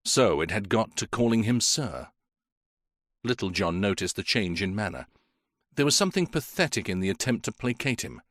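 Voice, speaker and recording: A man reading a mystery novel aloud as audiobook narrator, in an expressive storytelling manner. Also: on the low side, normal speed, no echo, studio quality.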